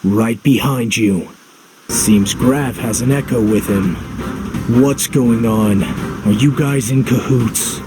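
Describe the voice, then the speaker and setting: An actor playing a brooding, world-weary young hero in a video game, terse, dry and understated. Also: male voice, mid-range voice, takes it slowly, close to an everyday voice. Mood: wary. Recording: background music. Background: game music.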